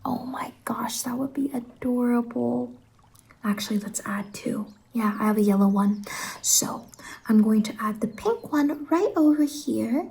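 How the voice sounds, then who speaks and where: A woman playing a sweet, bubbly stylist from a dream land in an ASMR roleplay, speaking softly and often slipping into whispers. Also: fairly high pitch, a little slow, vocal fry. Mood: sweet, delighted. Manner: gentle, doting, chatty, often dropping into whispers. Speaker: ASMR performer.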